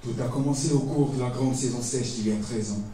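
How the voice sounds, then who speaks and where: A man performing slam poetry in a dramatic, solemn, declamatory way with deliberate pauses. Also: mid-range voice, mellow, distant mic, slight background noise. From Togo. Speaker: slam poet competing on stage. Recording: echoey stage recording from afar, music behind.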